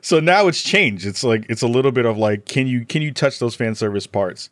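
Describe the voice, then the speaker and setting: A man talking casually as a podcast guest, rambling and joking in an animated way. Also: medium pitch, a little fast, no echo. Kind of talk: casual panel discussion.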